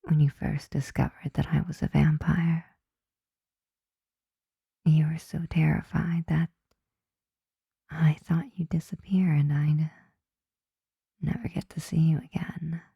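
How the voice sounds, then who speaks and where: A woman playing a flirty, softly dominant, affectionate vampire roommate in an intimate ASMR roleplay, breathy and often whispering, teasing and tender. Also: low-pitched, fairly even intonation, takes it slowly, pauses often, dry recording, lo-fi sound, full character voice.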